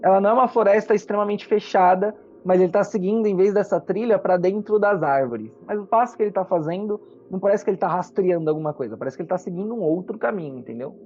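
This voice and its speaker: Mellow Brazilian game master, muffled with music. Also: male voice, high-pitched, fast, few pauses. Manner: storytelling, explanatory. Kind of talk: narrating a tabletop fantasy game. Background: background music.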